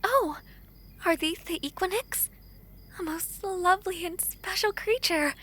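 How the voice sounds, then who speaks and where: Woman playing a high-voiced, gentle foreign princess. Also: a little slow, full character voice. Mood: delighted, grateful. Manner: formal, courtly, tender phrasing. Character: gentle, affectionate foreign princess, gracious and wistful. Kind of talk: romantic fantasy audio roleplay acting.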